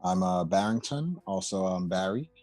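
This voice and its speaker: A young American man introduces himself with many pauses and fillers over a video call. His voice is very deep and dry, with no room sound. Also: animated, darkish tone, no room sound, soft background music. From United States. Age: 20s. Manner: hesitant, many "um"s. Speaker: guest. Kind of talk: casual self-introduction.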